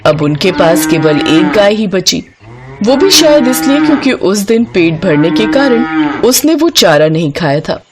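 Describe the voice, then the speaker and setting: A woman storyteller reading a scripted bedtime moral tale in an expressive narrating style. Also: mid-range voice, unhurried, over a noisy crowd.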